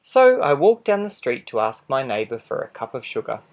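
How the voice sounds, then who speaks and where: A man's voice: mid-range voice, sing-song, moderate pace. A man voicing an aggrieved, wheedling wolf who protests his innocence in a folksy, exaggerated picture-book telling. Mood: explaining, incredulous. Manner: folksy, exaggerated, self-justifying. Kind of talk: animated picture-book storytelling.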